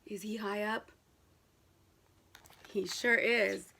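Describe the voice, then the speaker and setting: A woman's voice: mid-range voice, fairly even intonation, drawn-out words, vocal fry, bright, forceful tone. A woman reading a picture book aloud to young children, asking them questions and repeating key words for emphasis.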